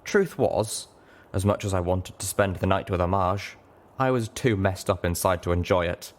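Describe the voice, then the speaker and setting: A man's voice: deep voice, normal speed. A man reading a scripted first-person story as an audiobook narrator.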